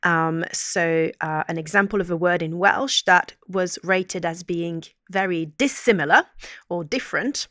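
A woman with a fairly low voice talks through her research study on a podcast. She speaks casually and thoughtfully, with plenty of fillers and restarted sentences. The recording is dry and close, with no room sound. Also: a little slow, fairly bright tone, no echo. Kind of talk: casual explanatory chat.

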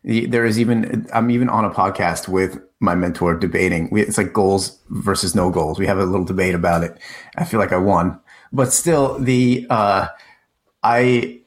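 Low-voiced reflective man in his forties. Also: moderate pace. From United States. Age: 40s. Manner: reflective, with many restarts and fillers. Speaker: guest, corporate communication coach. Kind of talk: casual conversational interview. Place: in the Czech Republic.

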